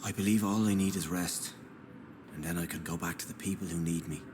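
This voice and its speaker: An actor playing a weary, devout and humble shelter keeper in a video game, earnest and courteous. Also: male voice, low-pitched, steady tone, a little fast, background noise, lightly acted. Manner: earnest, plain-spoken, courteous. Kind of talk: dramatic video game dialogue. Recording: music behind the voice.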